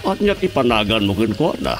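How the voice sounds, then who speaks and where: A man's voice: lowish voice, very animated, fairly quick. An actor playing a hesitant godfather in a radio comedy drama. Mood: doubtful. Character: hesitant male godfather at a christening. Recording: loud music with plucked strings.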